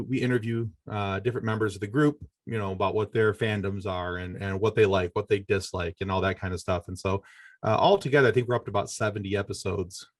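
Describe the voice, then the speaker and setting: A man's voice: fairly low pitch, no echo, clean studio sound. A man with an American accent chatting casually as a podcast guest, friendly and easygoing. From Michigan, United States. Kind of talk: casual interview chat.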